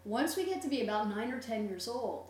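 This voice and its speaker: A woman's voice: fairly high pitch, animated, a little fast, darkish tone. A middle-aged woman chatting casually, telling stories and explaining things at length. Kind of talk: casual chat. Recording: echoey, distant mic, faint noise and hum.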